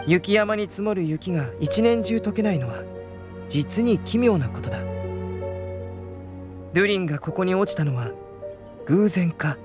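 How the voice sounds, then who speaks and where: A man playing a composed young alchemist knight in a video game, explaining things in a measured, scholarly, analytical manner. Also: high-pitched.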